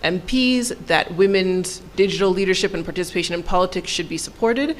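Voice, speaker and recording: A woman giving a live consecutive translation at a panel, summarizing in a measured way. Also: on the low side, a little hiss or hum. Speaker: interpreter.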